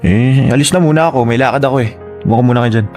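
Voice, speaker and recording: A man's voice: medium pitch, fast talker, a little mellow, faint music behind, close to an everyday voice. Dismissive Filipino husband played by a male actor, offhand doubter. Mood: skeptical, dismissive. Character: dismissive, skeptical husband, naysaying and condescending. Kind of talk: Filipino radio drama acting.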